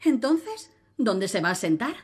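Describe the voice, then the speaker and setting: High-voiced, sceptical fairy queen. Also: big pitch swings, measured pace, slightly rough, heavily acted. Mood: unimpressed. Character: sceptical, refined adult fairy queen and mother. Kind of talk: animated children's cartoon dub.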